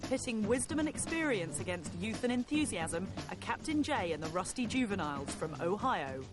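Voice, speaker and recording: A woman's voice: medium pitch, big pitch swings, moderate pace, rough recording. A woman narrating a competition show in a scripted voice-over with a wry, punchy delivery. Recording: voice-over over loud music.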